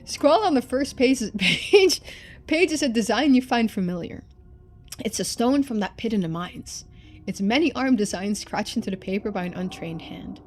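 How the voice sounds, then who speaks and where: A woman streamer reading a story game aloud in expressive narration, with casual asides. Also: a little high, normal speed.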